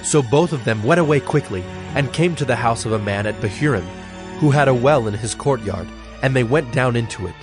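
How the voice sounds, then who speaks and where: Measured male scripture narrator over soft music. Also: mid-range voice, animated.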